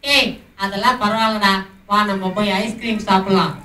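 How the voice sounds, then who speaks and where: A woman's voice: medium pitch, steady tone, normal speed, pushed and bright, lightly acted. A woman voicing a cheeky frog puppet who talks in a coaxing, bossy way. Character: cheeky frog friend Froggy, a tempting rascal. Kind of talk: puppet show for children. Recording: echoey hall, distant mic, noisy.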